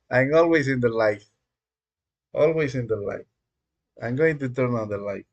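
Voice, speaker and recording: A man whose first language is Spanish, chatting casually on a live coding stream in a rambling, halting way, with many fillers and small chuckles. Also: mid-range voice. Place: dark room at home.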